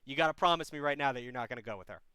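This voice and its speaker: A young man hosting a livestream advice call-in show, blunt and animated, sometimes playing a mock preacher. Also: mid-range voice, racing, clean tone, dry recording, clean studio sound. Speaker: advice hotline host.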